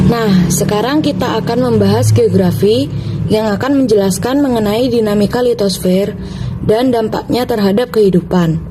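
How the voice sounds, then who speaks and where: A young woman, reading an educational explanation as a student podcast co-host. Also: normal speed. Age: young adult. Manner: reading prepared material. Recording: noisy, vehicle-like ambient rumble.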